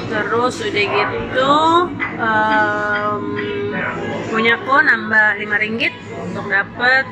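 A woman's voice: mid-range voice, fairly even intonation, slow pace, low-quality recording. A woman from Indonesia in her thirties, chatting casually through a cafe visit and slipping English phrases into her talk. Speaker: food vlogger. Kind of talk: casual vlog narration. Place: cafe and grocery shop, indoors. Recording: music playing behind the voice.

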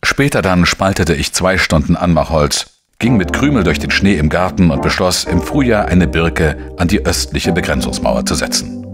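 A man playing a dogged, wry investigator in a crime radio play, speaking and narrating in a deliberate, reflective way. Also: sits low, expressive, music in the background. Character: dogged, wry male journalist-sleuth narrating his own case. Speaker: lead actor and first-person narrator. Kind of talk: German crime audio drama, narration and dialogue.

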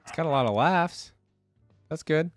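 A man's voice: fairly high pitch, animated, normal speed, no echo, faint music behind. A young man giving casual, rambling reaction commentary into a close desk microphone as a video host. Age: young adult. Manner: rambling, conversational. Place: indoor gaming setup.

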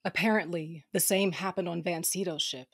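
A woman playing a tough, blunt female space fighter with a husky voice in an audio drama. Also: fairly low pitch, fairly even intonation, normal speed, fairly bright tone, no echo, clean studio sound. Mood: blunt, tense. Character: blunt, hard-swearing female space fighter, loyal comrade.

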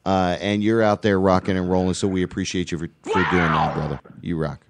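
A man's voice: deep voice, steady tone, fairly quick, noisy background. A man in his forties co-hosting a casual talk show, enthusiastic and encouraging in a rambling way. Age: 40s. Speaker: co-host. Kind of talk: casual radio-style chat.

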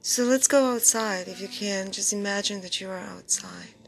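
A woman guiding a meditation in a soft, breathy, near-whispered voice, soothing and unhurried, in short phrases with pauses. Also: mid-range voice, low-quality recording. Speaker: meditation guide. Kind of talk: guided meditation. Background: background music.

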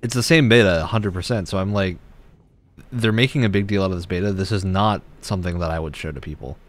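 A man's voice: low-pitched, fast. A young man who streams games, giving casual commentary while he plays in a small room. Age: young adult. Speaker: game streamer. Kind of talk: casual gameplay commentary chat.

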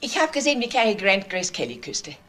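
An actress playing a chatty, self-assured, fussy baroness in a German crime radio drama. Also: female voice, medium pitch, very animated, quick pace, loud music behind the voice, natural voice. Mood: self-assured, delighted.

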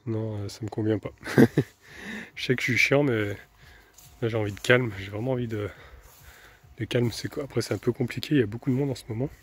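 A man's voice: mid-range voice, steady tone, brisk, pauses often, slightly rough. A tired man in his thirties chatting casually to the camera while driving his camper van, thinking aloud with many fillers. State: tired after a sleepless night. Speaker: vanlife vlogger. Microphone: camera mounted on the dashboard. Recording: engine and road noise behind the voice.

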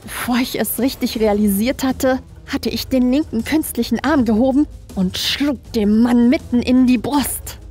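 A woman's voice: fairly high pitch, a little slow, hardly any pauses, mellow. A woman reading scripted first-person horror narration as a creepypasta narrator. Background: background music.

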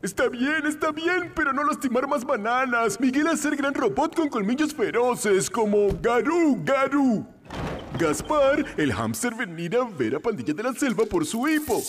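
Dopey sweet cartoon jungle hero, super high n bouncy, broken sentences. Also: male voice, very animated, a little slow, background noise, full character voice. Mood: earnest, simple. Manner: simple broken grammar, talks in third person. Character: dim, childlike, good-hearted jungle hero speaking broken sentences. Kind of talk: children's cartoon dub. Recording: music behind the voice.

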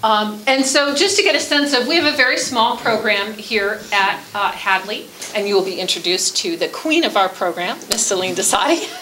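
A woman's voice: mid-range voice, expressive, moderate pace, hardly any pauses, sharp tone. A woman in her forties giving an explanatory presentation on a school program to a meeting. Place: school room at a committee meeting, indoors. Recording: echoey room, faint background noise.

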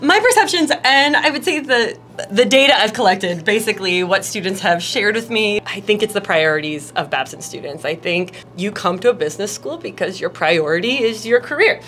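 A woman in her forties, a professor, answering interview questions in an office, thoughtful and laughing softly. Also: mid-range voice, animated, brisk, faint music behind. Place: indoor office. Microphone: handheld mic.

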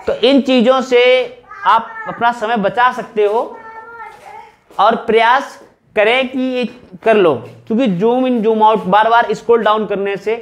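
A man in his thirties from northern India, an exam coaching teacher explaining things instructively and emphatically, straight to his students. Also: high voice. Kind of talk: explanatory exam-prep lesson at a whiteboard. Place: small indoor room with whiteboard.

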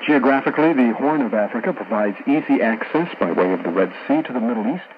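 A muffled shortwave broadcast with clear static carries a male radio journalist. He speaks in a measured, formal manner at a medium pitch, reading informative script as part of a studio discussion. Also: animated. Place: radio studio, heard over a shortwave receiver.

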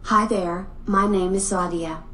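A text-to-speech woman's voice reading short demo lines in a flat, even, synthetic way. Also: medium pitch, measured pace, far from the mic, slight background noise. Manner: flat synthetic sample read.

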